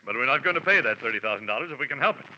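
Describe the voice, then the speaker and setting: An actor playing a pompous, blustering middle-aged insurance manager in a 1940s radio drama, talking gruffly and haltingly. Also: male voice, mid-range voice, lively intonation, sharp tone. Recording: muffled old radio recording with faint hiss.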